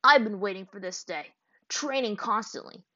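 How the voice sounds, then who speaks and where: A woman's voice: medium pitch, normal speed, pushed and bright, dry recording. Menacing warrior leader, growling, read by a woman; dry lo-fi storybook reading. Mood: boastful. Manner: growling, threatening. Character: tough male warrior leader, menacing and proud. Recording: dry, dull lo-fi audio.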